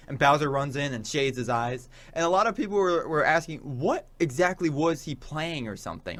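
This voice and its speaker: A young man co-hosting a casual radio talk show, chatting in an excitable, rambling way and often saying "like". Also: fairly high pitch. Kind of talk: casual banter about games and memes.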